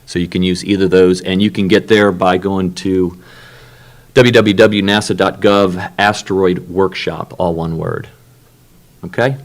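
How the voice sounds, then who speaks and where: A man with a very low voice chairs a morning working session in a conference room. He talks into a room microphone in a halting way, full of ums and restarts. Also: steady tone. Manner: halting, many fillers and restarts. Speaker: workshop co-chair and moderator. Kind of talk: opening remarks at a technical meeting.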